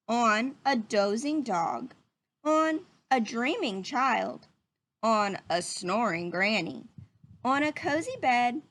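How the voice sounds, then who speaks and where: Slow, high-voiced woman reading a picture book. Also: a bit bright. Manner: slow, drawn-out storybook phrasing with questions to young listeners. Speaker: teacher reading aloud. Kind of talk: picture book read-aloud for children.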